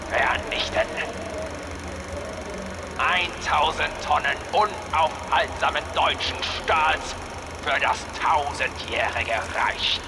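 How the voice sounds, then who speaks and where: A man playing a menacing, proud Nazi German commander in a video game, declaiming grandly. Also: a little high. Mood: grandiose. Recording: loud vehicle noise behind.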